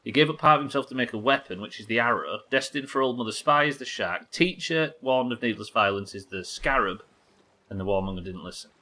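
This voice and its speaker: A man with a bright, forceful voice at an ordinary male pitch. He commentates casually as a game streamer, musing out loud and often questioning his own reasoning. The talk is informal and a bit sweary. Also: animated, a little fast. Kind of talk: casual gaming livestream commentary, thinking aloud.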